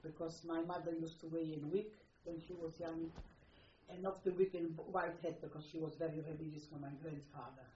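An elderly woman with a Polish accent recounts her life in halting, repetitive English. She is recorded on muffled archival tape in a roomy space, with faint hiss behind her. Her pitch sits in a middle range. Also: steady tone, warm tone. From Poland. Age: older. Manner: halting, non-native phrasing, repeats words. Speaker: interviewee. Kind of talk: oral history interview.

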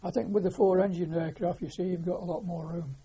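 A man's voice: sits high, fairly even intonation, mellow. Hesitant older man with Lancashire accent. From Lancashire, England. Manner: hesitant, many filler pauses. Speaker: war veteran interviewee. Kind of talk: oral history reminiscence. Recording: dull lo-fi recording.

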